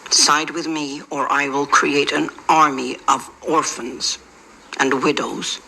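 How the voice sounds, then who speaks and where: A woman's voice: sits low, fairly even intonation, takes it slowly, vocal fry, pushed and bright, slight background noise, heavily acted, poor audio. An actress playing a stern elderly woman national leader who speaks with cold, commanding defiance. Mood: defiant. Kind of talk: film drama acting.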